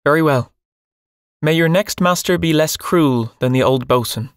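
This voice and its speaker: A man's voice: a little high, moderate pace, smooth, no echo, clean studio sound, voice pushed up, close to an everyday voice. A man voicing a young, stern male pirate captain who speaks in cold, clipped commands. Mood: cool, mocking.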